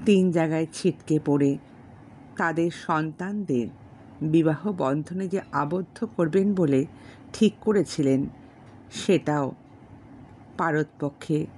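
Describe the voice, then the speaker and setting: A woman narrating a classic novel as a storyteller, formal and measured, pausing between short phrases. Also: low-pitched, a little mellow, slight background noise. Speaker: storyteller and channel host. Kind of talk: audiobook narration of a classic novel with spoken introduction.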